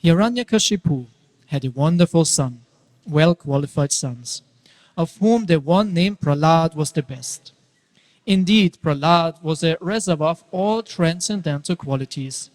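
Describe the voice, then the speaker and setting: Guy reading out a devotional story, narrator style, highish voice, big hall reverb. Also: unhurried, a little mellow.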